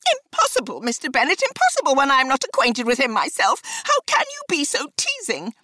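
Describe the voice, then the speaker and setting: A woman reading a novel aloud, voicing a nervous, complaining middle-aged mother in a shrill voice. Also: wildly swooping pitch, brisk, bright, forceful tone, no room sound, full character voice. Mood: agitated. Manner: resentful, fussy scolding. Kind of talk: audiobook character voice.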